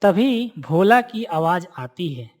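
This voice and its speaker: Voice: medium pitch, sing-song, takes it slowly. A narrator reading a scripted moral story for a cartoon in a storytelling style.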